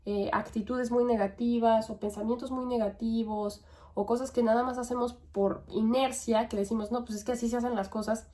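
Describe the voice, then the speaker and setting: A young woman from Mexico with a Mexican accent, hosting a podcast and thinking aloud in a relaxed, conversational way with tag questions and fillers. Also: on the high side, normal speed. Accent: Mexican Spanish. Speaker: podcast host. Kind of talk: reflective monologue.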